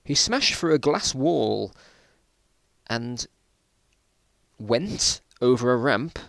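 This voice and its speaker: A man's voice: mid-range voice, sing-song, extremely slow, vocal fry, fairly bright tone, dry recording. British man, amused and dramatic, dry close sound. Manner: amused, incredulous, breaking off to comment. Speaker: reader of his own old school stories. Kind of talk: informal dramatic reading with mocking asides.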